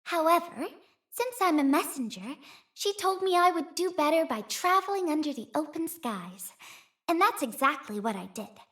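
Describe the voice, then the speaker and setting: A young woman voicing a gentle, earnest flying messenger in a video game, with a soft and sincere delivery. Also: high-pitched, animated, moderate pace. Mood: calm, earnest. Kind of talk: video game character voice lines.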